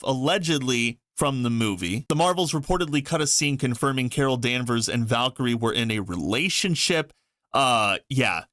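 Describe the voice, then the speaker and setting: A man's voice: medium pitch, fairly bright tone, dry recording, clean studio sound. A man hosting online commentary, reading articles aloud and reacting with emphatic opinions. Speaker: YouTube commentary host.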